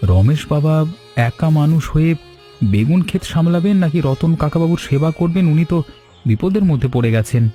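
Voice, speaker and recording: Man playing a steady, mellow young village husband. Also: on the high side, fairly quick, faint music behind, lightly acted. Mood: concerned. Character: calm, responsible young village husband and son-in-law. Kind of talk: Bengali cartoon story dialogue.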